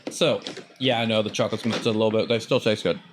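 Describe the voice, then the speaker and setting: A man's voice: lowish voice, steady tone, fast talker, noisy background. A man running a tabletop game as game master, recapping in a loose, joking way.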